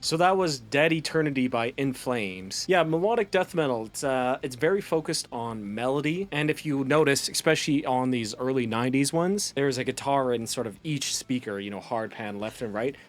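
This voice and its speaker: A man's voice: mid-range voice, moderate pace, few pauses. A man hosting a music reaction video, chatting casually and eagerly explaining songs, laughing often. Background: faint music playing.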